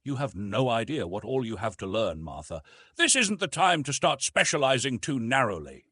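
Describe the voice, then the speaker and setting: A man's voice: mid-range voice, sing-song, moderate pace, sharp tone, slightly rough, no room sound, studio quality, exaggerated voice. A man voicing a weary old scholar. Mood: earnest. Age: older. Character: aging male scholar, weary and resigned. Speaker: archaeologist.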